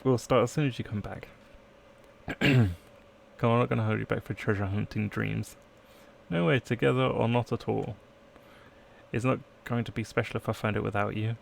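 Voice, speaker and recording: Low, gravelly male streamer. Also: fairly even intonation, normal speed, pauses often, a little hiss or hum. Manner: playful asides, trying out accents. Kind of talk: live let's play, reading game text aloud.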